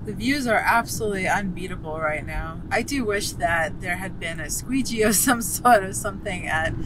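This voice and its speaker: A woman's voice: medium pitch, moderate pace. Chatty woman in a noisy car. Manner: chatty, upbeat, laughs easily. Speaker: vlog co-host and passenger. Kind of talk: casual chat to camera. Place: inside a car. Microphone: camera mounted on the dashboard. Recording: clear road noise in a car.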